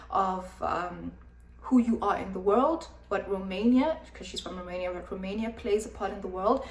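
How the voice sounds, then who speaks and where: A young woman from Zimbabwe who grew up in South Africa, giving frank, emphatic opinion commentary with many fillers. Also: medium pitch. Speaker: vlogger. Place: room with background noise. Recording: echoey room, voice from a distance.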